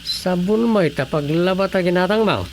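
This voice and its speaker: A man's voice: high voice, moderate pace. A man playing a know-it-all, inquisitive everyman in a radio comedy drama, explaining emphatically with an Ilocano accent. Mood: surprised, prodding. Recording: faint noise, insect ambience.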